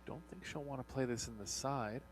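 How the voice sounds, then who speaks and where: A man's voice: medium pitch, brisk. A man giving analytical co-commentary on a billiards match in clipped, matter-of-fact technical remarks. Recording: broadcast with background mains hum.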